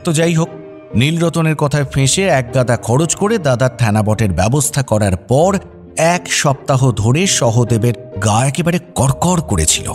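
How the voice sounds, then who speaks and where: A man narrating a scripted radio story in a wry, expressive way. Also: medium pitch, moderate pace, faint music behind.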